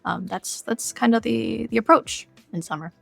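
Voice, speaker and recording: A woman's voice: on the high side, normal speed, creaky, a bit bright, soft background music. A woman speaking reflectively and conversationally as a guest game developer on a podcast. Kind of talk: podcast interview, casual reflection.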